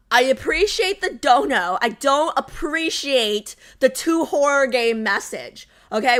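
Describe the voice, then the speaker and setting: A woman's voice: on the high side, sharp tone. A young adult woman streamer chatting casually with her viewers, bubbly and full of fillers. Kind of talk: casual chat with live chat.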